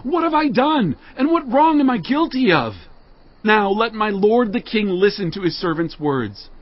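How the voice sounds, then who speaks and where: Earnest warrior leader, righteous and declamatory. Higher register, audio Bible drama, slightly dull sound. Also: male voice. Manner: declamatory, emphatic.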